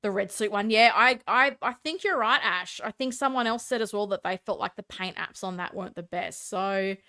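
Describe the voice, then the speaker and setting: A woman's voice: medium pitch, normal speed, sharp tone. A woman with a stuffed-up, congested voice hosts a casual live stream, chatting opinionatedly and answering viewer comments.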